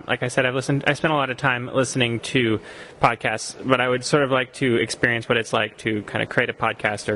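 Bright-voiced young man from Costa Rica. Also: medium pitch, a little hiss or hum, low-quality recording. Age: young adult. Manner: thoughtful, many ums and restarts. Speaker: exchange student guest. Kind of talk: radio interview answers.

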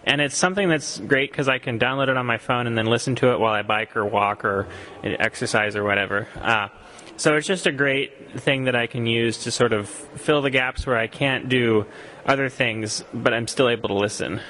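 A man's voice: medium pitch, moderate pace, pushed and bright, a little hiss or hum, rough recording. A young man from Costa Rica, an exchange student answering radio interview questions thoughtfully, with frequent ums and restarts. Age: young adult.

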